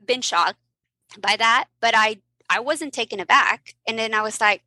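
Bright, animated woman telling stories. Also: a little high, normal speed, dry recording. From Mexico. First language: Spanish. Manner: animated, laughing storyteller. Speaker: guest physician. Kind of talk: casual storytelling interview.

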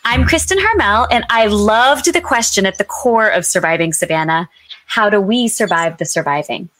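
A woman hosting an author talk show in an excitable, playful, casual way. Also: medium pitch, normal speed, no room sound.

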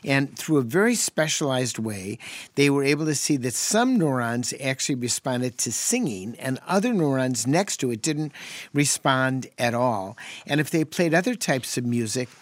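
A man's voice: medium pitch, expressive, normal speed, dry recording. A man on a radio health call-in show, explaining things in an easygoing way and often restarting sentences.

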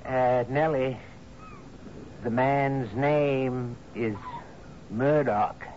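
Uneasy island underling, halting, slow-paced, mid-pitched, radio drama, muffled noisy recording. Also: male voice, steady tone, a little mellow. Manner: halting, guarded. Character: hesitant, uneasy subordinate islander living under the captain. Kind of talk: old-time radio drama acting.

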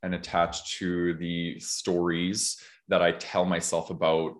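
A man in his thirties hosting a podcast, talking in a reflective, conversational way with an earnest, measured manner. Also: low voice, level tone. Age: 30s. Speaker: podcast host.

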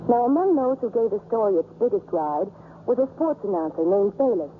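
A woman's voice: mid-range voice, lively intonation, fairly quick, slight background noise, lightly acted. An actress playing a caring young woman who narrates her own story in a 1950s radio drama. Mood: earnest storytelling. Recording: muffled 1950s radio recording, music behind.

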